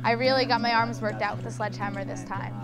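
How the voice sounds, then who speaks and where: Young woman over loud music. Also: fairly high pitch, fairly even intonation, fast. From Brooklyn, New York. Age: young adult. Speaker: student volunteer.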